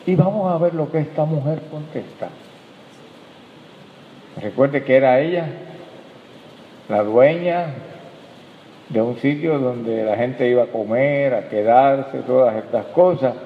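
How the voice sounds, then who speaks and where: A man preaching a sermon as pastor, rising emphatically and dropping soft as he addresses the congregation. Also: mid-range voice, takes it slowly, pauses often. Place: church hall. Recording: tinny, echoey hall recording.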